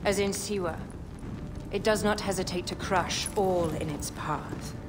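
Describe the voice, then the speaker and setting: A woman's voice: lowish voice, fairly even intonation, a little slow, background noise, close to an everyday voice. An actress playing a regal, assured queen of ancient Egypt in a video game drama, speaking formally. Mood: commanding. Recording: loud music underneath.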